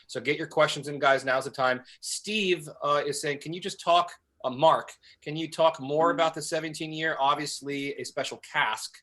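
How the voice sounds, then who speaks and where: A man hosting a live webinar on a video call, reading out viewer comments in an upbeat, energetic way. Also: fairly high pitch, normal speed. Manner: upbeat, hyped, energetic shout-outs. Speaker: webinar host.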